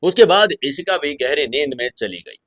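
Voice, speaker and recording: A man's voice: on the high side, animated, moderate pace, fairly bright tone, no room sound. A man reading a scripted romantic serial as a storyteller, with dramatized delivery. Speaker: audio story narrator.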